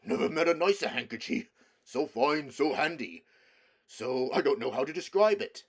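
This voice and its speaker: A man's voice: fairly high pitch, lively intonation, normal speed, voice pushed up. A man voicing a huge, good-natured, simple giant with polite, rustic speech. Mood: politely grateful.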